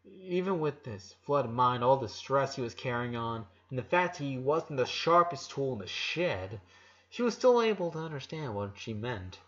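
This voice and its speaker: A man's voice: mid-range voice, lively intonation, moderate pace, a bit bright. A man narrates a dramatic story in a mid-range voice. His delivery is emotive and now and then he stumbles and restarts a word. The recording is a little dull and lo-fi. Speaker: narrator reading fan fiction aloud.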